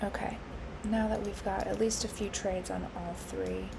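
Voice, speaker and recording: A woman live-streaming her futures trading, congested and hoarse from allergies, thinking aloud with lots of fillers and restarts. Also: mid-range voice, little pitch movement, moderate pace, noisy background. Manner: musing, with frequent fillers and restarts.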